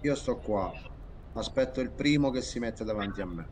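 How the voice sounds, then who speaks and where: Mid-pitched gamer in noisy chat. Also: male voice, lively intonation, moderate pace, crowd noise. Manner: colloquial, repeats himself. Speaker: online truck-convoy gamer. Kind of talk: casual gaming voice chat.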